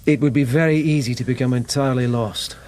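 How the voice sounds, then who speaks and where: An actor playing a loyal, level-headed police lieutenant in a radio drama, calm, careful and deferential. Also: male voice, mid-range voice, quick pace, natural voice. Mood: matter-of-fact.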